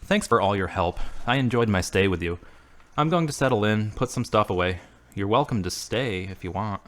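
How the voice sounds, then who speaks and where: A man playing an earnest, devout man in an amateur audio drama, speaking reflectively with gentle humor. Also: on the low side, lively intonation, normal speed.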